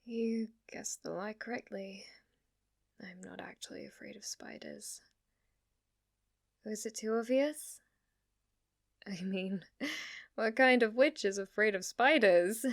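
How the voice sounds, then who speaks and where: A woman's voice: mid-range voice, no echo, studio quality. A woman voicing an obsessive, lovestruck witch in an intimate ASMR roleplay, with a hesitant, gushing way of talking. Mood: downcast, resigned. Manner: hesitant, rambling, gushing, giggly delivery. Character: lovestruck yandere witch, obsessive, shy yet giddy, teasing.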